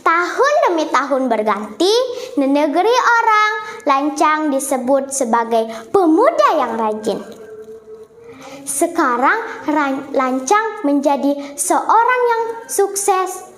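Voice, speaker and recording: A female storyteller telling a folktale in a theatrical, deliberate way, in a roomy, echoing recording. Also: high voice, sing-song, a bit bright.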